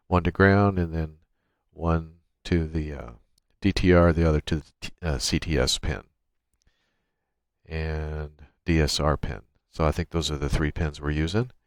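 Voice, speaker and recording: Low-pitched man giving a software tutorial. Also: no room sound, studio quality. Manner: matter-of-fact, step-by-step explaining. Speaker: tutorial presenter. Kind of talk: unscripted software tutorial narration. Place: indoors at a computer, screen-recording voice-over.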